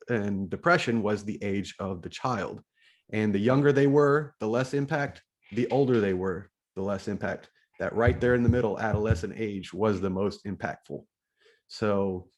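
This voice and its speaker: A middle-aged man hosting a podcast, explaining his ideas in a casual monologue and often restarting phrases as he checks his notes. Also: on the low side. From United States. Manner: thinks aloud, often restarting phrases while reading from notes. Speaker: podcast host.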